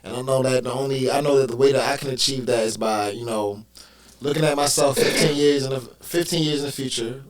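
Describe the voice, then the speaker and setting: A man co-hosting a talk show in casual chat, relaxed and loose, cursing now and then. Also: mid-range voice, brisk.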